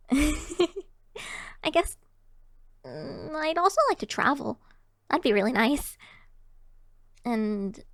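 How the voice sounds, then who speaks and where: A woman's voice: fairly high pitch, gravelly, no echo, studio quality. A woman voicing a shy, cheery young fox-woman barista in an ASMR roleplay, chatting to the listener in a hesitant, giggly, encouraging way.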